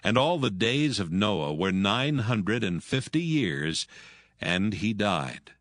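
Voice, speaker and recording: A man narrating scripture from a script in a measured, solemn manner. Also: lowish voice, big pitch swings, fairly bright tone, no room sound, studio quality. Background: music.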